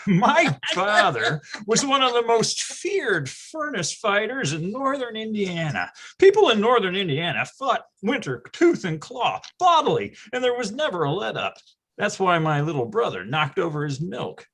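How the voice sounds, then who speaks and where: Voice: medium pitch, very animated, measured pace, fairly bright tone, husky, exaggerated voice. A performer playing a nostalgic, melodramatic storyteller, delivering wry and grandiose scripted comic narration. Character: melodramatic, nostalgic storyteller recalling boyhood schemes.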